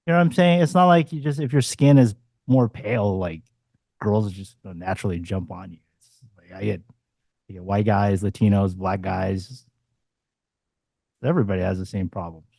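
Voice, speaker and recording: Dating coach, male, 40s, blunt and profane, mellow tone, dry close sound. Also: on the low side, normal speed, gravelly, pauses often, no room sound. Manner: blunt, swearing, punchy asides. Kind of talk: casual panel discussion.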